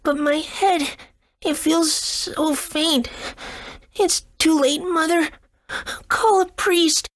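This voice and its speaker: A woman's voice: very high voice, steady tone, takes it slowly, no room sound, clean studio sound. A woman voicing an earnest, good-natured young boy adventurer in a video game. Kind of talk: English game dub.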